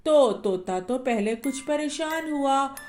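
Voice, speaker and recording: A woman with a high voice tells a children's bedtime story. She reads slowly and gently, and faint music plays beneath her. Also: animated. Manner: slow, gentle. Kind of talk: scripted bedtime story narration.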